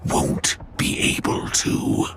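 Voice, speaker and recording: An actor playing a menacing machine villain in a video game, mocking and condescending toward humans. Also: male voice, slow pace, loud music behind the voice, natural voice. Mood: smug threat. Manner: taunting, condescending. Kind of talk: dramatic video game voice acting.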